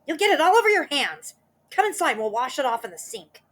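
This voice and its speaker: A woman's voice: high-pitched, big pitch swings, fast, voice pushed up. A woman reading a storybook aloud, voicing a nasty, spiteful old woman who screeches and wails in panic. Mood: shrieking panic. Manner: screeching, wailing.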